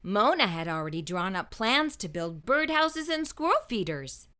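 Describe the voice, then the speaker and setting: A woman reading a children's story aloud as an expressive narrator. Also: medium pitch, moderate pace, clean tone. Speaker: children's book reader.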